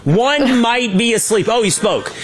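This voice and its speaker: A man's voice: very high voice, expressive, measured pace, a loud crowd. A man hosting a political talk show, giving opinionated, emphatic commentary with frequent restarts.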